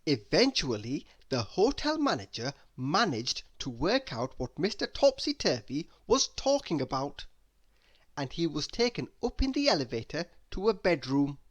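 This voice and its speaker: A man reading a children's story aloud in an expressive, deliberate way. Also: a little high. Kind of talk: expressive scripted narration for children.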